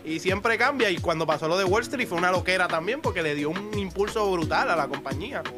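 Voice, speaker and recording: A streamer with a Puerto Rican accent, a man with a slightly high voice, talks casually on a podcast. He uses a lot of slang and tag questions. Music is clearly heard behind him. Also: animated, a little fast. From Puerto Rico. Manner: slangy, often tags phrases with a question. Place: bedroom-style streaming room, indoors. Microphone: close desk microphone.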